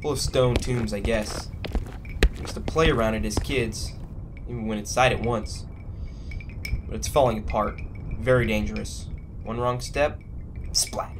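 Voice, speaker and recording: A man's voice: fairly low pitch, fairly even intonation, unhurried, long pauses, noisy background, natural voice. A man playing an adventurous, earnest young crewman in a science fiction audio drama, talking in a casual way. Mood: confident, storytelling. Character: adventurous young spaceship crewman, earnest persuader with a haunted past. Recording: music playing under the dialogue.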